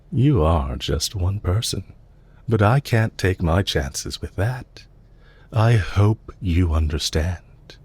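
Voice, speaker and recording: A man playing a calm, courteous villain leader in an audio roleplay, measured, formal and politely condescending, with quiet menace and amusement. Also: sits low, breathy edge, full character voice. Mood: calm, controlled. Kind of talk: audio roleplay, intimate scripted acting addressed to listener.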